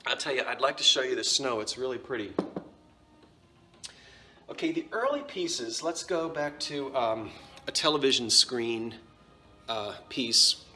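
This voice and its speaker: A man's voice: medium pitch, animated, a little slow, pauses often, sharp tone. A man with a New Jersey accent, casually showing and describing antique dishes in a chatty, self-correcting way. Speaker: antiques seller. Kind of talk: casual show-and-tell vlog.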